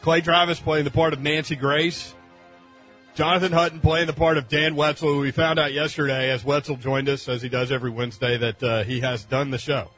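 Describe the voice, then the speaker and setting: A man presents a casual radio show in an informal, bantering way. His voice sits a little high, with faint music underneath. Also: level tone, fairly quick, fairly bright tone.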